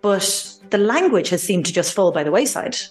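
A woman's voice: medium pitch, very animated, fairly quick, faint music behind. A young Irish woman, a language teacher, talking passionately and fluently as a guest in a podcast interview. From Ireland. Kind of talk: conversational interview.

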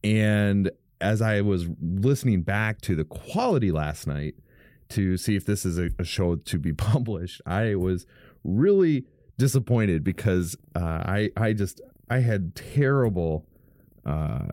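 A man with a very low, smooth voice hosts his church podcast from a home studio. His tone is dark and mellow, and the close, dry mic leaves no room sound. He talks frankly and rambles a little, often restarting his sentences. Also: lively intonation, a little slow, no room sound. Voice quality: fairly deep, smooth voice. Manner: rambling, frank, self-correcting with many restarts. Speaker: pastor and podcast host. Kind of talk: casual podcast monologue. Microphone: studio microphone.

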